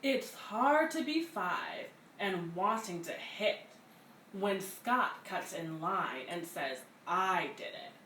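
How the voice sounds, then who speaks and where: A woman reads a picture book aloud for young children, heard from a distance in an echoey room. Her delivery is expressive and deliberate. There is faint noise behind her. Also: on the low side. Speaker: read-aloud story reader at a community center. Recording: roomy, distant mic, faint background noise.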